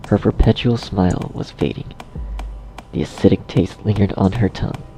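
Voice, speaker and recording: A man's voice: on the low side, expressive, normal speed, gravelly, dark tone, slight background noise, low-quality recording. A man narrating a scripted horror story dramatically, as its storyteller. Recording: faint music behind the voice.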